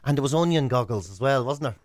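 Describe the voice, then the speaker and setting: A man's voice: medium pitch, expressive, fast talker, noisy background. An Irish man co-hosting a radio show with comic, casual banter, exclaiming in mock exasperation.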